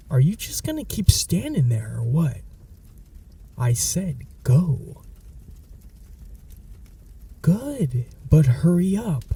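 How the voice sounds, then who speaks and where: A man's voice: mid-range voice, creaky, warm tone, breathy edge, far from the mic, heavily acted. A young man voicing a smug, possessive male vampire in an ASMR roleplay, mocking and dropping into whispers. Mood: cold menacing.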